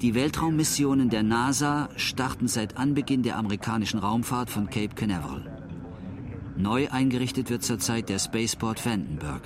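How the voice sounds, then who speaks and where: A man reading scripted informational narration as a documentary narrator. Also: on the low side. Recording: clear background ambience noise.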